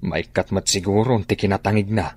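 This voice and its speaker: Deep, husky gossiping village man. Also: steady tone, a little fast, darkish tone. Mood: scornful. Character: gossiping village man, scornful of the spendthrift neighbours. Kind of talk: radio drama acting.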